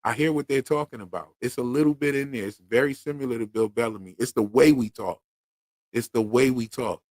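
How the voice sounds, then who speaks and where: A man's voice: mid-range voice, fairly quick, darkish tone, no room sound. A man from Pennsylvania with a Philadelphia accent, hosting a casual livestream chat in a slangy, laid-back way full of fillers. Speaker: podcast host.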